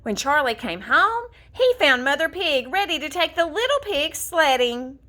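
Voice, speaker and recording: A woman reading a children's storybook aloud in an expressive, deliberate storytelling manner. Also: high voice. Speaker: storybook reader. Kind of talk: scripted children's story narration, read aloud.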